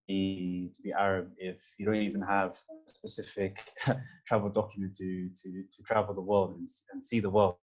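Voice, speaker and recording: Low, flat-pitched London man, lo-fi audio. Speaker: panel speaker. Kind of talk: informal panel talk giving opinions.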